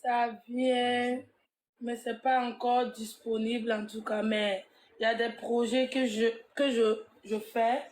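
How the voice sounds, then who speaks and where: Burkinabè singer answering briefly. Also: female voice, fairly high pitch, little pitch movement, slow, a little mellow, roomy sound, slight background noise. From Burkina Faso, born in Kinshasa. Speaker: guest musician. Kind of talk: interview answers.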